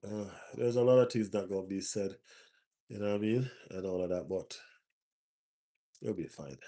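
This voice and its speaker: A man's voice: lowish voice, normal speed, warm tone. A man with a stuffy nose hosting a casual live stream as a football fan, repeating his phrases for emphasis.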